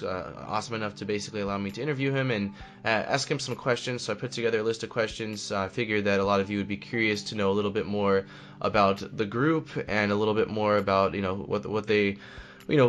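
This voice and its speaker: A man's voice: fairly low pitch, a bit bright. A man hosting an online interview, asking meandering questions full of fillers. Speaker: host, interviewer.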